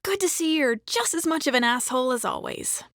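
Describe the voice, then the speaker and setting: A woman's voice: high-pitched, expressive, brisk, fairly bright tone, no room sound, studio quality. A woman playing a defiant, sardonic criminal and deserter in a dramatized audiobook. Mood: snide. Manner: challenging, sardonic.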